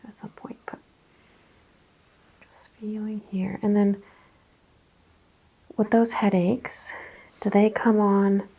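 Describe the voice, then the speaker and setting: Female ASMR practitioner role: gentle, half-whispered, husky and soft-toned, calm exam-room questions and explanations. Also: medium pitch. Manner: gentle, often dropping into a whisper; explains and questions in short unhurried phrases. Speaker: ASMR host playing a chiropractor.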